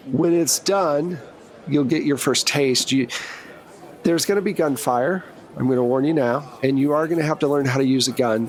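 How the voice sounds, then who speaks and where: A man's voice: medium pitch, lightly acted. Man playing a breezy, blunt agent handler. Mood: wry briefing. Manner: casual, wry, reassuring briefing.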